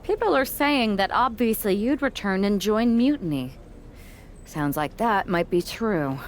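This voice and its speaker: A woman plays a persuasive recruiter in a wrestling game story. She is businesslike and pointed, negotiating in a measured way, with some faint noise behind her. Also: medium pitch, animated, lightly acted. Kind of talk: scripted video game cutscene acting.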